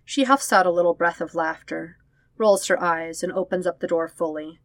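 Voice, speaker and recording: Female podfic narrator, lower-pitched voice, very dry close sound. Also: normal speed, no room sound. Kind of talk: scripted fan fiction narration.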